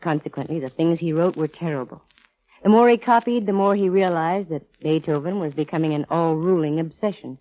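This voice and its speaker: Woman acting a sharp American female detective, low voice, dramatic pauses, vintage radio drama, dull recording. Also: lightly acted. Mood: explanatory, brisk. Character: sharp female private eye explaining a case.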